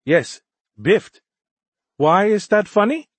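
A man's voice: sits high, sing-song, slow, no echo, voice pushed up, exaggerated voice. A man voicing an earnest, formal young male alien liaison who is easily puzzled, in an audiobook. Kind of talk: audiobook character voice.

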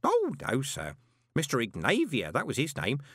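A man's voice: mid-range voice, wildly swooping pitch, normal speed, no room sound, clean studio sound, voice pushed up. A man voicing a chatty, deferential elderly postal worker with a rambling, conspiratorial way of talking. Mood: gossipy. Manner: gossipy, rambling, ingratiating.

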